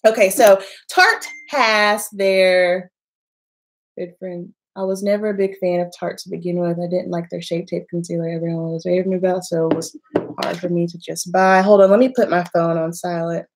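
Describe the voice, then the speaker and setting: A woman from the American South, a makeup artist chatting casually on a livestream, a little congested and nasal, emphatic and quick to laugh. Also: fairly low pitch, steady tone, poor audio.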